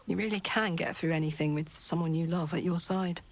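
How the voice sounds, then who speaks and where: A woman playing a brash, warm-hearted, battle-hardened soldier in a video game, with a hearty, blunt delivery. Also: lowish voice, normal speed. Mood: fond. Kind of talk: video game character acting.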